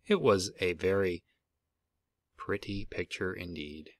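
Male storybook reader, expressive, fairly low pitch, little room sound. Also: takes it slowly, no echo. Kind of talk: expressive read-aloud of a children's picture book.

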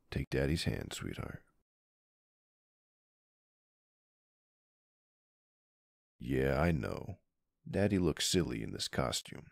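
Super deep crackly voiced mafia dad guy acting it out, tender and soothing, asmr husband roleplay. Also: gravelly, no room sound, clean studio sound, exaggerated voice. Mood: soothing, playful. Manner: tender, soothing, with soft pauses. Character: doting mafia boss husband and gentle father. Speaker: roleplay audio performer.

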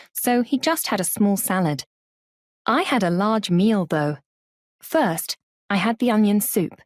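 A woman's voice: medium pitch, darkish tone, no echo. Woman reading a textbook script, dry sound. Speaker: recorded listening exercise speaker. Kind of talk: scripted read-aloud.

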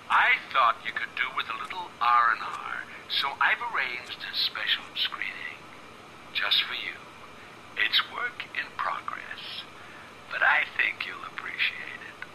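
A man's voice: mid-range voice, natural voice, low-quality recording. An actor playing a sadistic, mocking director who taunts his captive and gives orders in a video game. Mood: smug, sly. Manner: sardonic, taunting, theatrical. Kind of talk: dramatic video game voice acting.

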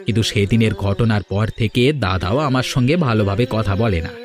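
A man's voice: on the low side, normal speed, close to an everyday voice. A man playing an earnest, downcast young wastrel brother who pleads for redemption in a moral story cartoon. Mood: dejected. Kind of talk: dramatic cartoon dialogue.

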